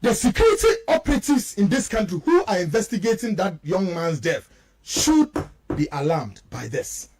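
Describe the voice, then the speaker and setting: A Ghanaian man with a high voice and very lively intonation gives impassioned political commentary in a dry, close studio sound. His native Twi colours his speech, and he hammers points home with repeated rhetorical questions. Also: normal speed, no room sound.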